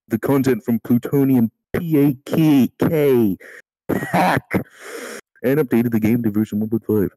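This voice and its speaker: A man reads an article aloud in a mellow, relaxed voice with a dry, close sound. He trips over words, repeats himself and swears casually as he goes. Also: medium pitch, no room sound, rough recording. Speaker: amateur reader in a group voice call. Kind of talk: reading an encyclopedia article aloud.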